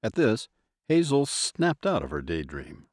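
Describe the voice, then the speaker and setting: A man's voice: on the low side, huge pitch swings, measured pace, no echo, clean studio sound. A man narrating a scripted audio drama as a dramatic storyteller.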